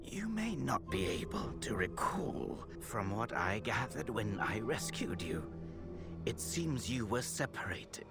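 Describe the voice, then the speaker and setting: Solemn, slow-spoken temple healer, expressive pitch. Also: male voice, loud music behind the voice, full character voice. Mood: concerned, measured. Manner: solemn, formal, thoughtful, old-fashioned diction. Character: grave, compassionate male temple healer, scholarly and measured. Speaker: video game character voice actor. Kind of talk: scripted game dialogue, dramatic and measured.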